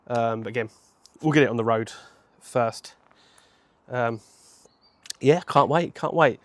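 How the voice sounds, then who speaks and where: A man, hoarse from a cold, chatting casually to camera outdoors on a home patio, rambling and correcting himself as he goes. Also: mid-range voice, a little slow, no echo. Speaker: motorcycle vlogger.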